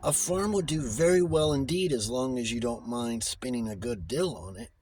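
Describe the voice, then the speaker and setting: A man voicing a practical, self-assured young woman in an audiobook, brisk and matter-of-fact. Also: medium pitch, a little mellow, a little hiss or hum, close to an everyday voice. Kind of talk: audiobook character voice.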